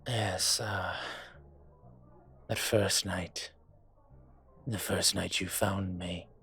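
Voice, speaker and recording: A man playing a brooding, courteous and vengeful dragon mafia boss in an intimate audio roleplay, speaking in a measured, hesitant way with a husky voice. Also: low-pitched, fairly even intonation, bright, forceful tone, soft background music, heavily acted. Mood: somber, bitter. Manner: measured, hesitant, pausing often. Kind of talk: audio roleplay drama, intimate dinner conversation.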